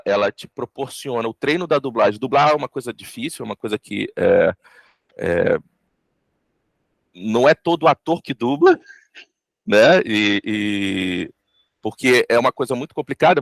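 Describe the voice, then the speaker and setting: A Brazilian man, a voice actor, giving reflective, explanatory interview answers in a halting way, repeating words as he goes. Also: medium pitch, gravelly, no echo. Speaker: voice actor interviewed about an audio drama.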